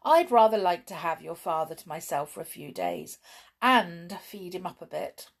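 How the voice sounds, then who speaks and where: A woman's voice: fairly low pitch, big pitch swings, moderate pace. A woman voicing an indulgent, long-suffering middle-aged mother and wife in a storybook, fond but exasperated.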